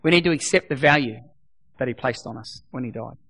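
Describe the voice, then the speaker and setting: A middle-aged man preaching as a pastor, his voice a bit croaky. He talks emphatically, repeating his points and dropping to a soft voice for prayer. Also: medium pitch, fairly quick. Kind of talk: sermon.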